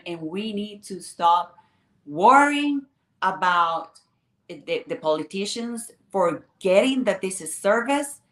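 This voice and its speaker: A woman's voice: lowish voice, fairly bright tone. Woman in an echoey room. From Venezuela. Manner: earnest, repetitive rhetorical questions. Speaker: congressional candidate. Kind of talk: political interview answers.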